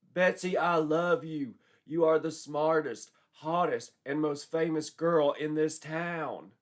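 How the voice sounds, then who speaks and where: A man's voice: fairly high pitch, takes it slowly, voice pushed up. A man voicing a brash, boastful young wrestler and suitor in a storybook tale with bombastic declarations. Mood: ardent bravado.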